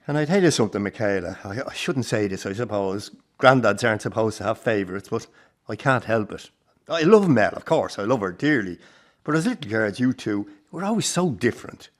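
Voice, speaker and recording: A man's voice: lowish voice, animated, normal speed, close to an everyday voice. An actor playing an older Irish circus grandfather in a radio drama, plain-spoken and rueful, with a salty turn and a fond heart. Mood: fond, reminiscing. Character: warm, disillusioned old Irish circus grandfather. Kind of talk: naturalistic radio drama acting.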